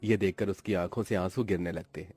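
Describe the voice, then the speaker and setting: A man's voice: low-pitched, fairly even intonation, brisk, warm tone, slightly rough, no room sound, soft background music. A man narrating a cartoon family story in a dramatic scripted storytelling style.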